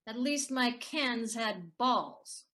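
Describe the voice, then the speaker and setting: A woman's voice: a little high, lively intonation, very slow, fairly bright tone, full character voice. A woman playing a bitter, hard-drinking ex-wife in an audio play, taunting and sardonic. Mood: mocking.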